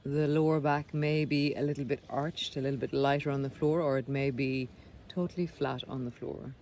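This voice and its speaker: A man leading a guided body scan meditation, speaking gently and unhurriedly with pauses between phrases. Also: medium pitch, fairly even intonation. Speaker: meditation guide, breath coach. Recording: clear background noise of sea sounds. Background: sea sounds.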